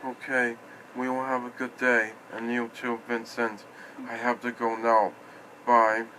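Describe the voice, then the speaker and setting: A man speaking in short, halting, simple phrases as an amateur puppeteer improvising a puppet show, recorded on a tablet at home. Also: mid-range voice, monotone, background noise. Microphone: iPad microphone.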